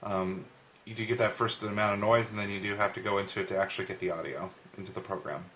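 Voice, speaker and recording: Casting: American male reviewer with a fairly low voice, walking through software off the cuff, plain-spoken and explanatory. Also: steady tone, fast, slight background noise. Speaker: app reviewer giving a walkthrough. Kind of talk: casual unscripted tutorial narration.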